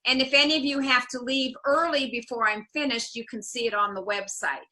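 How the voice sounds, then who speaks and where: A woman's voice: on the high side, steady tone, brisk, sharp tone. A woman in her seventies from the US Midwest, giving an illustrated talk as a tour guide on a video call, telling her story and dropping in Italian words with relish. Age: 70s. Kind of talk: illustrated talk over slides.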